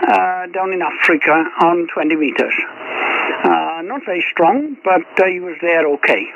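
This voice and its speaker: A man's voice: high-pitched, normal speed. A man chatting casually as an amateur radio operator over shortwave, rambling along in a hesitant, understated way. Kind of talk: casual radio chat between hobbyists. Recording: tinny, phone-like shortwave radio, clear static.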